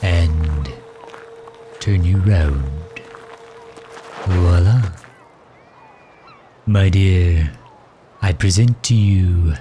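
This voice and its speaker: A man playing a courtly, overprotective villain who fusses gently and formally in an ASMR roleplay drama. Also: sits low, very slow, long pauses, over music. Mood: proud, expansive. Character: doting, overprotective male villain caring for injured hero.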